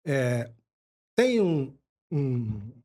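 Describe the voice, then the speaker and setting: A man from Brazil hosting a podcast, thinking aloud in a halting way with many restarts. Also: mid-range voice, big pitch swings, dark tone. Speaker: podcast host. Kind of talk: casual interview chat.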